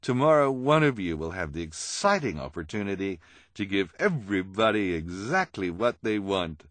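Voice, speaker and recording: Audiobook villainess, played by a man: haughty, persuasive schemer, animated pitch, close dry sound. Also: normal speed, no room sound. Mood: coaxing. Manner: persuasive, emphatic, condescending.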